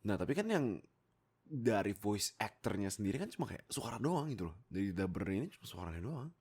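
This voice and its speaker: A young man, rambling casually and excitedly about himself into a close microphone. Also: on the low side, fairly quick, breathy edge. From Indonesia. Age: young adult. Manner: rambling, animated, exclamatory, often breaking into giggles. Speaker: YouTube creator and amateur voice actor. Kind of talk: casual, unscripted storytelling about oneself. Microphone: close USB microphone.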